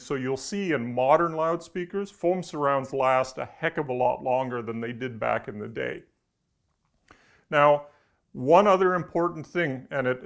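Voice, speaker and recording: Halting, conversational middle-aged man. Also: mid-range voice. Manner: halting, conversational, with repeated words. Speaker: audio engineer presenting. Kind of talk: informal explainer to camera. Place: indoor studio with backdrop.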